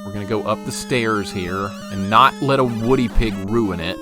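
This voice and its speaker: A rambling, chatty man in his forties, from Alabama, talking in a fairly low voice. He keeps breaking off and restarting his sentences while chatting on a live game stream. Game music plays clearly underneath him. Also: moderate pace. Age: 40s. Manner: rambling, self-interrupting, chatty. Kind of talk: casual live-stream chat while playing. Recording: game music playing behind.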